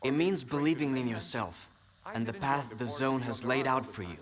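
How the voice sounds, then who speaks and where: A man's voice: mid-range voice, moderate pace, a crowd in the background, natural voice. A man playing an earnest, philosophical wasteland guide in a video game. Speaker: guide character.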